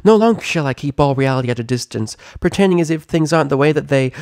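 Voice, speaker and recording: Male reader as bubbly girl pony, high-pitched, swooping intonation, gushing storybook chatterbox, dry close recording. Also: lively intonation, quick pace, darkish tone, no room sound. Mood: solemn, anguished. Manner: gushing, rambling, endless run-on chatter. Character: hyperactive, chatty, bubbly young female pony party girl. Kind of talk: animated fan fiction character reading.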